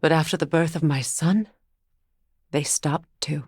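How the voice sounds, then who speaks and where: An actress playing a grave, sorrowful exiled woman of magic in a video game, speaking in measured, formal phrases. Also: low-pitched, fairly even intonation, a little mellow, slightly rough, no room sound, studio quality. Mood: regretful. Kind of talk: dramatic game character dialogue.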